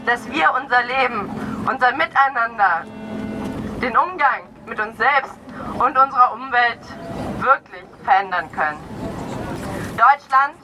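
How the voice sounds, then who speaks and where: Middle-aged woman w high voice doing an earnest activist speech outside, clear street noise behind her. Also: expressive, measured pace, long pauses. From Cologne area, Germany. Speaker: climate activist giving a speech. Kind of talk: prepared public speech at a rally. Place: outdoors in an urban area.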